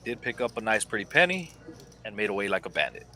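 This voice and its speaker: A man's voice: fairly low pitch, big pitch swings, fast talker, bright, forceful tone, slight background noise. A man giving easygoing play-by-play commentary on a live poker game.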